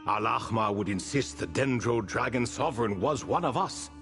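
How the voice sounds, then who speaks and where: A man's voice: medium pitch, expressive, moderate pace, vocal fry, fairly bright tone, soft background music. An actor playing an ancient proud male spirit retainer bound in a relic, telling his tale with dry amused asides in a video game cutscene. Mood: wry. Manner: wry, stately storytelling with dry amused asides.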